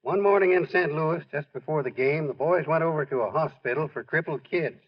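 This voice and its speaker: An actor playing a folksy friend who narrates a ballplayer's life in colloquial 1940s radio style. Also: male voice, a little high, fairly quick, close to an everyday voice. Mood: reflective. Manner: colloquial, storytelling. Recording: muffled old radio recording.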